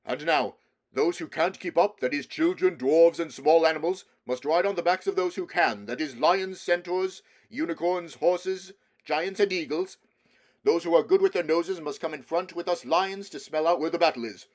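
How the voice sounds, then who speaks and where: A man's voice: on the high side, moderate pace, pitched above the performer's own voice, close to an everyday voice. A man voicing a noble lion leader in a declamatory, commanding manner for a storybook. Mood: brisk, commanding.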